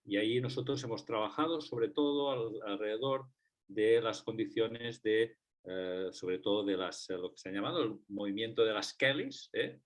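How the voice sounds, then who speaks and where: A man's voice: lowish voice, moderate pace. A man with a Catalan accent, a researcher speaking as a debate guest, hesitant with many fillers and restarts. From Catalonia, Spain. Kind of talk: debate talk.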